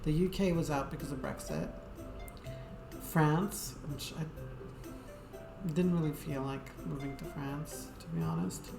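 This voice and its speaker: A woman's voice: deep voice, animated, measured pace. A woman game streamer chatting casually to viewers in a rambling, hesitant way, with lots of fillers. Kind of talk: casual live-stream chat. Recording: echoey room with game music.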